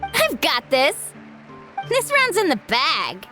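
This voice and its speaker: Plucky, very high-voiced young girl. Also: huge pitch swings, takes it slowly, full character voice. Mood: determined. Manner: earnest, upbeat. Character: plucky young girl athlete, cheerful, humble, determined. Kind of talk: video game voice acting. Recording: game music under the voice.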